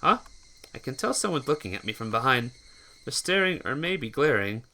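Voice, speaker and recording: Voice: medium pitch, big pitch swings, normal speed, sharp tone, slight background noise. A streamer reading a visual novel aloud, often stumbling over words and correcting the slip, with music behind. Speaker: streamer, game player.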